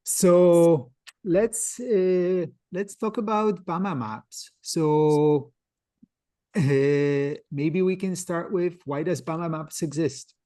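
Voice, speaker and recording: A mellow, warm voice hosts an interview, answering the guest with reflective thoughts before moving on to the next question. The voice sits at a medium pitch, and the sound is close and dry. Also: slow pace, dry recording. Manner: affirming, reflective, steers the talk. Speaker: host, interviewer. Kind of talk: casual interview conversation.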